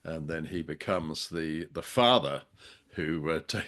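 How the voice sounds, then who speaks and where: A man's voice: rumbling low, measured pace, fairly bright tone. An older man co-hosting a casual podcast chat, with a wry, joking and rather hesitant delivery. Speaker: podcast co-host. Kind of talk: casual chat reviewing an old radio drama.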